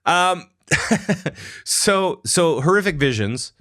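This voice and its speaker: A man's voice: fairly high pitch, animated, drawn-out words, a bit bright, dry recording, clean studio sound. A man hosting a casual gaming podcast, joking playfully and repeating phrases for emphasis. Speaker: podcast host.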